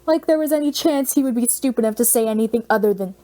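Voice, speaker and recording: Mellow-voiced woman narrating a story. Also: a little high, fast. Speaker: audiobook narrator. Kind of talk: scripted fan fiction narration, expressive storytelling.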